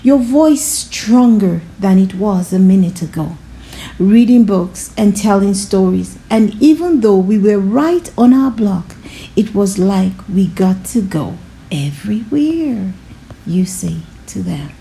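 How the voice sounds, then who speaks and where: Slow, mellow woman reading a storybook. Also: mid-range voice, animated, vocal fry, poor audio. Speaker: read-aloud storyteller. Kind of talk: children's storybook read-aloud.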